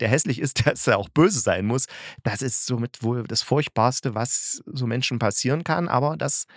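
A man's voice: mid-range voice, no room sound. Chatty man, close dry sound. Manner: colloquial, rambling, opinionated. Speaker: host of a radio-drama review podcast. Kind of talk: casual solo review chat.

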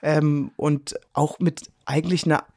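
A woman's voice: deep voice, flat delivery, a little slow, a little mellow, no room sound, studio quality. A woman radio drama director answering interview questions in an informal, conversational way. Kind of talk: interview answers, casual storytelling.

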